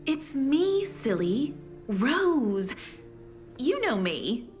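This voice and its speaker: An effusive, sweetly tactless small-town woman in a video game. Her pitch swoops as she gushes and lingers slowly on dramatic pauses, with music clearly behind her. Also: very animated, darkish tone, exaggerated voice. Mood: sweetly insistent.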